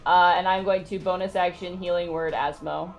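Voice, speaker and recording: A woman's voice: fairly low pitch, steady tone, moderate pace, gravelly. A woman chatting casually and playfully as a player in a tabletop game. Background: music.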